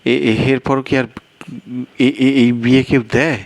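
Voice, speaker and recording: An anxious, gullible man played by a male storyteller talks in a raised, strained-up pitch. He is easily flustered, stammering and exclaiming as he protests. It is an audio story, recorded close and dry. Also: fairly even intonation, unhurried, no echo, lightly acted. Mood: agitated. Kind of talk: Bengali audio story character dialogue.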